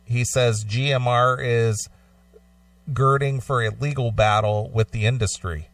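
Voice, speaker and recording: A man co-hosting a casual talk show, speaking in a measured, agreeable way. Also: mid-range voice, steady tone, a bit bright, no room sound, far from the mic. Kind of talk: casual radio discussion, reading and commenting.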